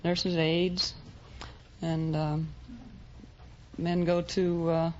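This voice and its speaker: A woman with a fairly low voice answers as a quiz contestant, plain and slightly hesitant. The old radio recording is dull, with faint noise. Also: flat delivery, drawn-out words. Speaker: quiz show contestant, volunteer Red Cross driver. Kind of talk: answering interview questions on a quiz show.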